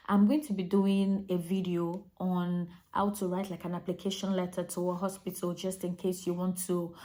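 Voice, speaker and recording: A woman's voice: on the low side, mellow. A young Nigerian woman, a nurse, talking directly to viewers with chatty, practical migration advice. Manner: chatty, direct, addresses viewers as you guys. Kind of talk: advice vlog to camera.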